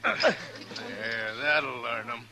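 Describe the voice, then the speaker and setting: An actor playing a hot-tempered, menacing frontier gambler with a rural American accent, blunt and threatening in an old-time radio western on a noisy vintage recording. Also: male voice, medium pitch, expressive, extremely slow, bright, forceful tone, heavily acted.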